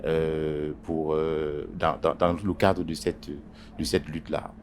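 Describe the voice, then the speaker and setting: Very deep-voiced hesitant man. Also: level tone, a little hiss or hum. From Congo Basin. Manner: halting, many hesitations and repeated words. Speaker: journalist and editorial writer. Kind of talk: interview answers.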